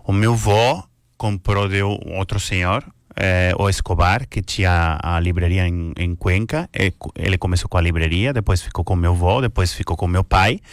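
Deep-voiced man, dry. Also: moderate pace, few pauses, dry recording. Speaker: guest, son of a bookseller. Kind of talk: casual chat.

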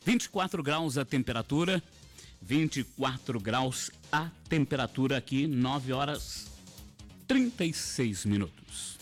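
Unhurried radio host. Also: male voice, medium pitch, lively intonation, faint music behind. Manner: unhurried, repeats phrases and numbers. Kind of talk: live radio interview. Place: radio studio.

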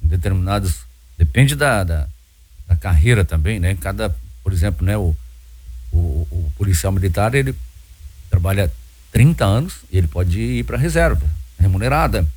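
Lively Brazilian male radio host. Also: low-pitched, moderate pace, breathy edge, dry recording, poor audio. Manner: chatty, frequent filler "né". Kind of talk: casual radio talk.

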